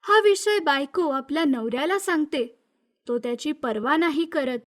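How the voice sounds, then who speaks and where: A female storyteller with a very high voice narrates a scripted children's tale. Her delivery is that of an animated moral story reader. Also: normal speed.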